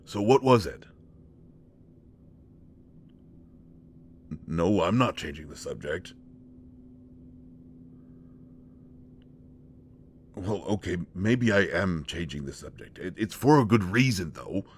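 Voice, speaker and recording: Man acting a very deep-voiced, hesitant, bashful young guy. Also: expressive, normal speed, slightly rough. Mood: flustered, evasive. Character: shy, awkward young male shapeshifter confessing to a close friend. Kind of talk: intimate roleplay audio drama.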